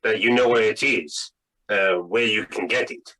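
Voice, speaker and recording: Man playing a husky, halting, courteous schemer. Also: lowish voice, sharp tone, low-quality recording. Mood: nervous, courteous. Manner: halting, stammering, formal and courteous. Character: polite, nervous, insistent male foreign schemer. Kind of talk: 1940s radio drama read-through. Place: video call read-through.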